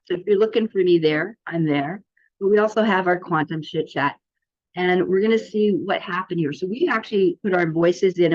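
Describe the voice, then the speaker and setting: A woman giving an informal software demo as a practitioner, in a chatty, rambling, salesy manner. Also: lowish voice. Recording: dull, muffled lo-fi sound.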